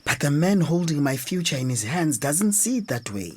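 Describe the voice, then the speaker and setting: A woman's voice: deep voice, big pitch swings, moderate pace, warm tone, breathy edge, exaggerated voice. A woman with a Zimbabwean accent playing a discouraged, uncertain transgender teacher in a radio drama.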